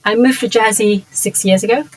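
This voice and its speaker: A woman whose first language is Mandarin Chinese, talking earnestly as an interview guest, often pausing on fillers and restarting sentences. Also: mid-range voice, rough recording. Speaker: podcast guest, education founder. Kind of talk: conversational interview.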